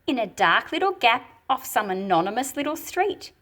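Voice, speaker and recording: A woman reading a storybook aloud and explaining it as she goes, in an expressive, deliberate manner with a slightly husky, breathy quality. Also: fairly high pitch. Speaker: picture book read-aloud host. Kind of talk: storybook read-aloud with teaching commentary.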